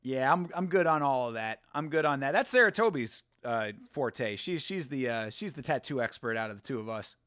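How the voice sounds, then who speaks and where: A man's voice: mid-range voice, animated, a little fast, few pauses, fairly bright tone, clean tone, dry recording, clean studio sound. A man hosting a casual live watch-along, talking in a rambling, laid-back way, swearing, laughing often and answering viewer comments. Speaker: host.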